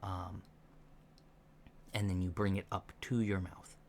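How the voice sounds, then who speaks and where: Slow, soft-spoken man with a lowish voice. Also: level tone. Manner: measured, hesitant, explanatory, often pausing mid-sentence. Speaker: ASMR roleplay performer playing a training instructor. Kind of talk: soft-spoken ASMR instructional roleplay. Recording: lo-fi with faint background noise.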